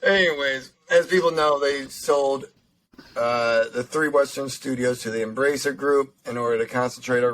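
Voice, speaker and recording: A young man with a slightly raspy voice, co-hosting a casual podcast, chatting and reading out news with lots of pauses and fillers. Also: medium pitch, fairly bright tone, rough recording. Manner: halting, lots of fillers and restarts. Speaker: podcast co-host.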